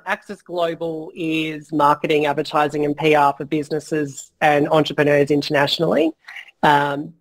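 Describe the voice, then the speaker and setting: Frank, rambling man, slightly hoarse. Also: fairly high pitch, rough recording. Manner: frank, rambling, many fillers, mild swearing. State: voice going hoarse. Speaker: guest entrepreneur. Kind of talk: casual interview chat.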